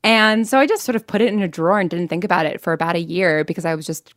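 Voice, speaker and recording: Casual interviewee: young American woman, lowish voice, chatty and self-deprecating, recorded dry. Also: quick pace, no room sound. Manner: chatty, self-deprecating, laughs while talking. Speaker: podcast creator and actor interviewed. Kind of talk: casual personal storytelling in an interview.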